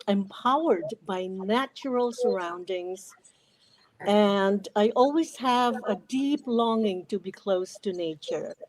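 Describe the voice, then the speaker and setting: A woman in her sixties with a Filipino accent, speaking reflectively and deliberately as an interview guest. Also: mid-range voice, a little mellow, a little hiss or hum, poor audio. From Philippines. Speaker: podcast guest, retired educator.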